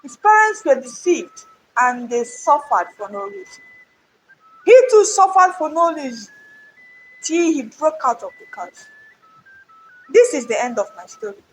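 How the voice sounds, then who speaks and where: A woman's voice: high voice, big pitch swings, a little slow, pauses often, a little hiss or hum, poor audio. A woman voicing a mighty, defiant male folktale hero who speaks solemnly and with authority. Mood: solemn, authoritative.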